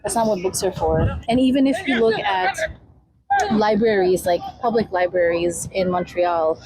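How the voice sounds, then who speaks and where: A woman of Filipino heritage, guest on a community radio interview, talking in a soft, hesitant way with many fillers. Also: medium pitch, moderate pace, a crowd in the background, low-quality recording. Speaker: guest, mobile library founder. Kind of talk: casual interview talk.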